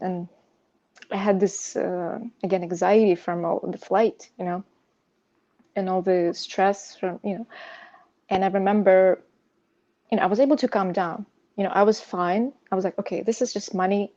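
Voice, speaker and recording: A woman from Russia, a native Russian speaker, telling a personal story as an interview guest in a halting, searching way. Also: medium pitch, mellow, lo-fi sound. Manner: hesitant, halting, frequent "you know". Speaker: podcast guest sharing personal insomnia story; pianist.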